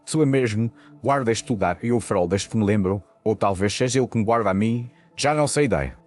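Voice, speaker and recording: Man acting weary, low-voiced old lighthouse keeper. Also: brisk, darkish tone, faint music behind, natural voice. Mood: weary, cryptic. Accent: European Portuguese. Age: older. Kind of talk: audio ghost story acting.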